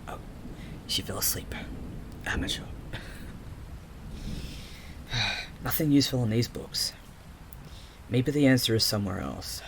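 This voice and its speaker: A man playing a restless, overthinking investigator with magical sight in an audio drama, muttering haltingly to himself. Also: fairly low pitch, a little hiss or hum. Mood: searching, muttering.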